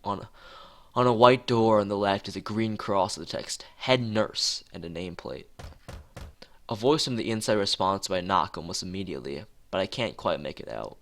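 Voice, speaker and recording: A streamer reading a visual novel aloud in a halting way, stumbling and going back over phrases, with asides to chat. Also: low voice, expressive. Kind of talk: live read-aloud narration with chat asides. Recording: music playing behind the voice.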